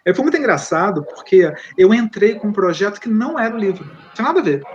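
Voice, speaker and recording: A man's voice: sits high, sing-song, fast. A Brazilian man, an author, speaking to an online audience in a conversational way and often tagging his sentences with "né?". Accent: Brazilian Portuguese. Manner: conversational, often adds rhetorical "né?". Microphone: video call. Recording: lo-fi online call audio with faint noise.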